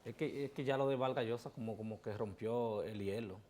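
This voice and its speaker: A man's voice: on the low side, a little fast, dark tone. A man hosting a talk show, breaking in with brief affirmations and counterpoints to his guest.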